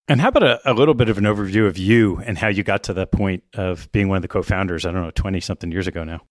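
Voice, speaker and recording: A man with a fairly low voice hosts an interview podcast. He puts questions conversationally, and the sound is very dry, with no room around it. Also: very fast, no room sound.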